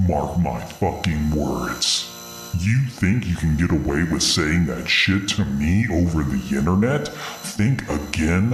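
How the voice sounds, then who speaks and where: An extremely deep-voiced giant monster woman, played by a man, delivers boastful, vengeful threats full of swearing. It is a dramatic comic horror reading in a roomy echo, with music underneath. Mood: menacing rage.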